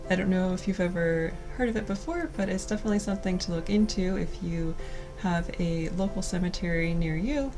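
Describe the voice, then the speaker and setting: A woman from California in her thirties, co-hosting a cozy lifestyle video in a soft, slow, gentle way. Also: on the low side, music in the background. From California, USA. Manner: soft, gentle, slow delivery with light laughs. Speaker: co-host of a cottage lifestyle channel. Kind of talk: casual scripted chat to camera.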